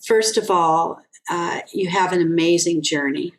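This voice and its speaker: A woman speaking as a guest on a webinar, giving thoughtful, encouraging advice with pauses over a video call. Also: lowish voice, rough recording.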